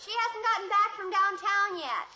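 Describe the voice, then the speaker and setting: A woman's voice: very high voice, moderate pace, noisy background. A woman playing an earnest, dramatic American teenage daughter in a 1950s radio sitcom, girlish and plaintive. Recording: muffled old radio recording.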